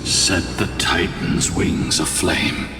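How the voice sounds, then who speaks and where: A solemn ancient male spirit from a fantasy game, speaks very low and unhurried. He is grave and formal, his voice ringing through a long, distant reverb. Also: fairly even intonation. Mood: grim, haunted. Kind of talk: theatrical fantasy video game dialogue. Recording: very echoey distant hall, music behind.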